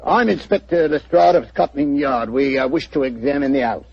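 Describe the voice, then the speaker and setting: An actor playing a blustering, self-assured police inspector in a 1940s radio drama. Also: male voice, mid-range voice, moderate pace, creaky, a little mellow, husky, no room sound. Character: blustering police inspector, middle-aged man. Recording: muffled old radio recording.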